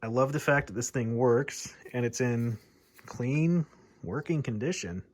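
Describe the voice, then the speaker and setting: A man's voice: medium pitch, measured pace, darkish tone. A man giving a casual hands-on demo and calling out as a CB radio hobbyist. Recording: clear background radio noise.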